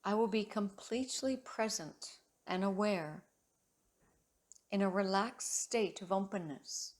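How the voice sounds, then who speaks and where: A woman yoga teacher leads a guided meditation at a very slow pace. Her mid-pitched voice is soothing and measured. She leaves long pauses between short phrases of gentle instruction.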